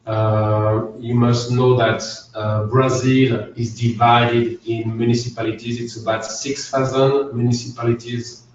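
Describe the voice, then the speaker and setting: A man's voice: medium pitch, flat delivery, unhurried, few pauses, slight background noise. A man with a French accent, an accountant giving a methodical, structured webinar presentation. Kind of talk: business presentation. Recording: echoey, distant, dull webinar audio.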